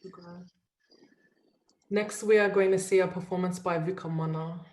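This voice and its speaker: A woman hosts an online event with brief, polite announcements. Her voice is lowish and mellow and stays flat in pitch. Her voice echoes around the room. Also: normal speed. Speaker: host. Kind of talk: event hosting. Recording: roomy echo.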